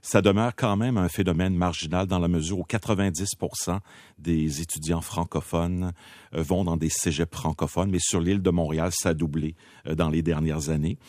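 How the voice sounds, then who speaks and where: A Québécois man lays out his case on a political panel in a low, dark voice. He is measured, often pausing on small hesitations. The sound is close and dry. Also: fairly even intonation, no echo. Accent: Québécois French. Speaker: political commentator. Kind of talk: panel debate.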